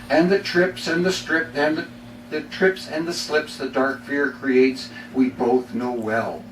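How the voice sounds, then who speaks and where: Middle-aged Canadian male poet, deliberate dramatic phrasing, echoey room, faint background noise. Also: medium pitch. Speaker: poet reading own work. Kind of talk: live poetry reading. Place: bookstore reading before a small audience. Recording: roomy, distant mic, faint noise.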